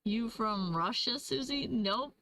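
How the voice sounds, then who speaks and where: A chatty young woman talks through a trivia livestream, reading viewers' comments aloud and laughing easily. Her voice sits fairly high and sounds bright. She speaks slowly and takes her time. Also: animated, no room sound. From Michigan, USA. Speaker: livestream host. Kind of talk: casual trivia livestream chat.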